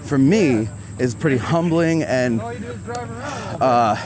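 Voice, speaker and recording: A man's voice: mid-range voice, slow pace. A man in his forties doing a casual, joking interview on a group hike outdoors, recorded on a handheld recorder. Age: 40s. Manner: chatty, self-deprecating, joking. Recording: outdoor crowd noise around.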